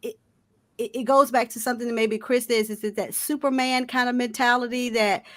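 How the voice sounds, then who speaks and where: Woman host, fairly high voice, earnest, questioning. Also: fairly even intonation, fairly quick. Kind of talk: casual panel discussion.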